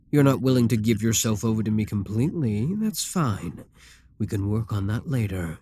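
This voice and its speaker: A man playing an arrogant, seductive demon king in a dramatic ASMR roleplay, speaking in a deliberate, commanding, theatrical way. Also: fairly low pitch, darkish tone. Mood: coaxing, persuasive.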